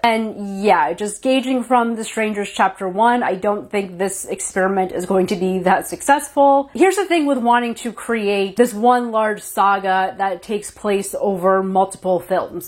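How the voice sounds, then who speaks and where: A woman with a slightly stuffy voice from a cold, casually reviewing a horror film for her channel and explaining her opinions. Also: mid-range voice. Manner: opinionated, animated, explains with "like" and "right".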